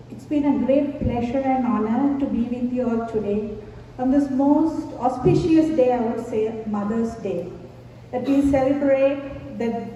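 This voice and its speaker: A woman from Sri Lanka giving a devotional talk to a gathering, slow, measured and reverent. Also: high voice, warm tone. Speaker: invited speaker at a devotional gathering. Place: large hall. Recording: echoey hall from far off, dull, faint hiss.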